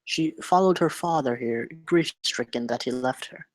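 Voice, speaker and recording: Man playing a measured, articulate doctor, dry sound. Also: mid-range voice, dry recording, close to an everyday voice. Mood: wistful. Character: educated melancholy male doctor, dreamy captive scientist. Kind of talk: script table read acting.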